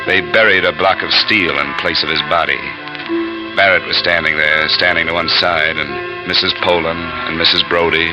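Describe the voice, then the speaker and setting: An actor playing a hard-boiled, deep-voiced investigator. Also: male voice, close to an everyday voice, rough recording. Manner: terse first-person narration. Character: hard-boiled male insurance investigator, terse. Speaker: narrator of his own story. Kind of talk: 1950s radio drama narration. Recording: old radio transcription with music.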